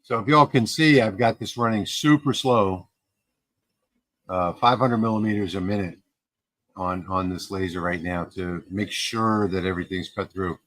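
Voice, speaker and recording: A man's voice: low voice, normal speed, a bit bright, dry recording. A man in his fifties hosting a casual livestream, talking in a rambling way with fillers and restarts. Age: 50s. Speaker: livestream host.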